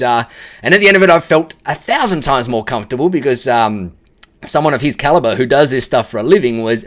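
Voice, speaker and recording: A man's voice: medium pitch, fairly bright tone. An Australian man giving a casual, candid spoken testimonial, chatty and unpolished. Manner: candid and chatty, many fillers and restarts.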